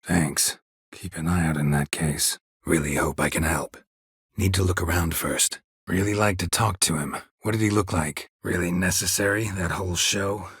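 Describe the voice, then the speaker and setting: A man voicing a gruff, world-weary monster hunter in a video game, with a husky rasp and terse, deadpan delivery. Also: low voice, normal speed, dry recording, clean studio sound, full character voice. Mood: skeptical, deadpan. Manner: terse, deadpan, clipped phrases. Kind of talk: video game character dialogue.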